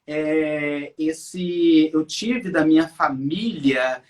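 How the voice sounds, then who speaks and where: A man in his forties with a Brazilian accent talks as a guest in an interview. His delivery is conversational and emphatic, and he often turns to the listeners or checks in with short tag questions. His voice sits at an ordinary brightness. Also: fairly high pitch, very slow. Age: 40s. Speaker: interview guest, English teacher. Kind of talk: conversational interview, personal storytelling.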